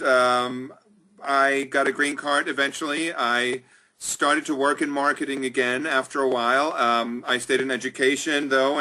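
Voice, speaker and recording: A man's voice: mid-range voice, sharp tone, low-quality recording. A middle-aged man from Germany, a guest on a video-call interview, talking in an upbeat, chatty way with many ums. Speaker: executive director of a chamber of commerce, guest. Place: indoors, small room.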